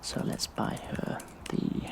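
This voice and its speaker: An actor playing a smug, vengeful hacktivist in an audio drama, speaking in a breathy, sinister, mock-casual hush. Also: male voice, takes it slowly, heavy background noise, lightly acted. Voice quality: breathy, whispery, gravelly creak. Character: vengeful gleeful hacktivist vigilante man. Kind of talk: audio drama monologue, addressed to viewers.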